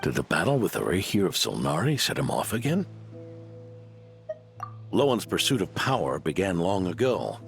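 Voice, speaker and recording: A man playing a weary, wry older mentor in a video game, gruff and matter-of-fact. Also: low-pitched, big pitch swings, normal speed, pauses often, faint music behind, exaggerated voice. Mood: grave storytelling.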